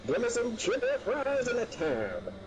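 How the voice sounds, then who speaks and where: Man acting as high school boy, casual, exaggerated, high voice, very animated, noisy amateur drama recording. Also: normal speed, heavily acted. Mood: casual, chirpy. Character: young male high-school student, casual regular at a diner.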